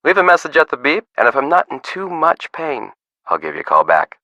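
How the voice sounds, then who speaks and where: A man's voice: fairly high pitch, big pitch swings, a little fast, bright, forceful tone, dry recording, exaggerated voice. An actor playing a breezy, slick man after a settlement in a video game, glib and showy. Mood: breezy, smug. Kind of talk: video game character voice lines.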